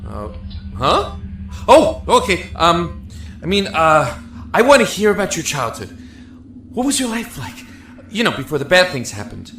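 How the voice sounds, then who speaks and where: An actor playing a stubborn, lovesick young prisoner in an audio drama, earnest and pleading, with a stammer at times. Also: male voice, on the high side, huge pitch swings, a little slow, long pauses, fairly bright tone, heavily acted. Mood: earnest, wistful. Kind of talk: audio drama acting with reflective narration. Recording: roomy echo with music underneath.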